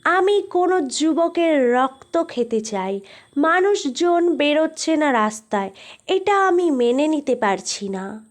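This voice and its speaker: Woman voicing a slow, high-pitched haunted walkie-talkie. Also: lively intonation. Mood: hungry, resentful. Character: talkative police walkie-talkie turned vengeful, bloodthirsty spirit. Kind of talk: dramatic first-person thriller monologue.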